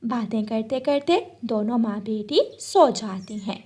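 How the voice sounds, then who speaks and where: A woman's voice: on the high side, measured pace, darkish tone, slight background noise. A woman narrating a scripted children's story.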